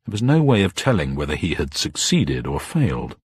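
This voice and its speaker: Low, husky male story narrator. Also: huge pitch swings, a little fast, darkish tone, no echo, clean studio sound. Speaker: audiobook narrator. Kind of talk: dramatic scripted narration of a detective story.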